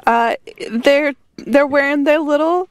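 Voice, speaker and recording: Stuffed up woman w a cold, kinda high voice, rambling voicemail, giggles a lot, dry sound. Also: a little slow, no echo, lo-fi sound. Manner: giggly, rambling with many fillers. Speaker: voicemail caller. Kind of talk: casual voicemail message.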